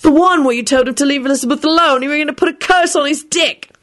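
An irritated, suspicious man from a story acted out by a woman, pitched high. He grumbles and demands answers, always sounding wronged and ready to argue. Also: quick pace, fairly bright tone. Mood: accusing, aggrieved. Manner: demanding, complaining. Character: belligerent, grumbling adult man, suspicious and aggrieved.

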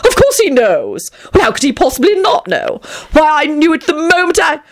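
A woman's voice: high voice, huge pitch swings, moderate pace, voice pushed up. A woman voicing a fussy, haughty male vampire who talks in a theatrical, sulky way in a storybook.

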